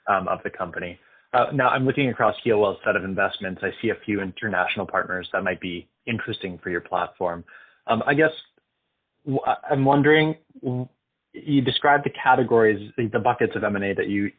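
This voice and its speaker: A man speaking as a financial analyst, putting questions on an earnings call with tentative, halting phrasing. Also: fairly low pitch, husky. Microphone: conference call line. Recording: thin phone-like conference call line.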